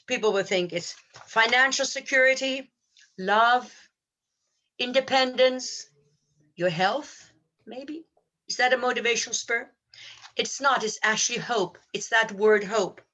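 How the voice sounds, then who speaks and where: A woman co-hosting a podcast, giving a reflective spiritual talk in an earnest, measured, philosophizing manner. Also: a little high, bright, forceful tone. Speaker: podcast co-host.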